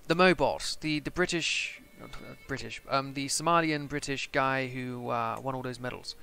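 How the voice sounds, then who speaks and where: A young man chatting and joking as he plays through a strategy game for his channel, thinking out loud. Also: medium pitch, moderate pace, gravelly, clean tone, faint music behind. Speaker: gaming channel host. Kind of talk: casual strategy game let's play commentary.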